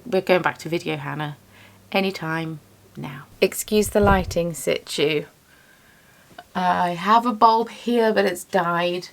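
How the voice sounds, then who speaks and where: A young woman with a lowish, gravelly voice rambles casually as she works. She chats on in a self-deprecating way. The talk has an easy, unscripted feel. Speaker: yarn dyer and small business owner. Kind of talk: casual chatty vlog voice-over. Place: home craft studio.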